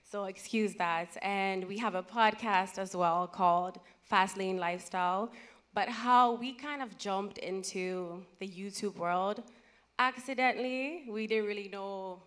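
A woman's voice: medium pitch, fairly bright tone. A woman in her thirties from Ghana, a guest on a conference panel, talking in a chatty, self-deprecating way into a handheld mic. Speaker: panel guest, content creator. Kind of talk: conversational panel talk. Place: large conference stage.

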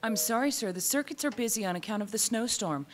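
A woman's voice: fairly high pitch, lively intonation, quick pace, very noisy, close to an everyday voice. A woman playing a formal, efficient telephone operator with a courteous, procedural manner in a radio play. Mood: businesslike.

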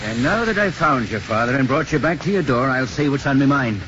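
Actor as a blunt cop, noisy old radio. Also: male voice, mid-range voice, animated, a little fast, natural voice. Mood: scolding. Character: blunt, scolding, good-hearted policeman. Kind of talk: 1950s radio acting. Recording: muffled, noisy 1950s radio broadcast.

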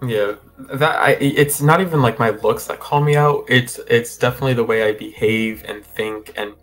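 A young man being interviewed over a video call from a room at home, talking in a relaxed, rambling way with lots of fillers and restarts. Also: medium pitch, normal speed, faint music behind. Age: young adult. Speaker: guest. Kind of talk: casual interview.

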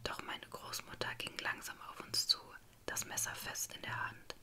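A woman's voice: moderate pace, pushed and bright. A woman reading a dramatic story aloud as narrator, in a whispered ASMR style.

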